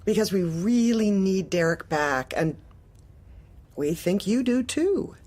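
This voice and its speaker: A woman's voice: medium pitch, expressive, takes it slowly, darkish tone. A woman playing a manipulative, officious corporate manager in a darkly comic audio drama, speaking with bland politeness and veiled menace. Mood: politely insistent. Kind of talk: audio drama acting, dark comedy.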